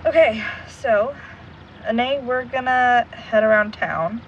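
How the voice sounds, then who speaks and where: A woman playing a brisk, wry young woman who takes charge of an amateur sleuth team in an audio drama. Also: a little high, lo-fi sound, slight background noise. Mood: bossy, exasperated. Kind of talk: audio drama acting, naturalistic.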